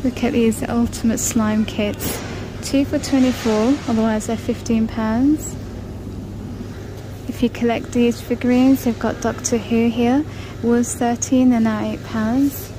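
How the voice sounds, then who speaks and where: A woman's voice: on the high side, steady tone, measured pace, background noise. A woman vlogging a shop walk-through, chatting casually about items and reading out prices in a large store. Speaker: shopping vlogger. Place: large indoor discount store. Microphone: handheld phone camera.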